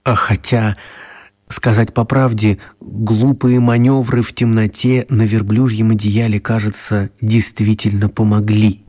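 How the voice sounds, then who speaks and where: Husky, mellow male story narrator. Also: fairly low pitch, flat delivery, measured pace. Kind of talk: expressive scripted story narration.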